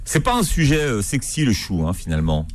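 A man's voice: mid-range voice, very animated, brisk. A man hosting a food radio show, teasing and joking with his co-host.

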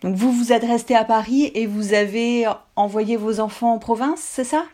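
A woman's voice: fairly high pitch, normal speed, dark tone. A woman interviewer asking brief, direct questions.

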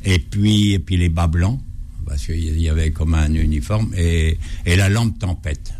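Older guy with an ardennes accent, really deep voice, jokey and rambly, lots of ums. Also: unhurried, mellow. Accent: Ardennes French. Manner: folksy and joking, rambling with fillers. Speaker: guest, surgeon and anthropologist. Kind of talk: radio interview, anecdotal.

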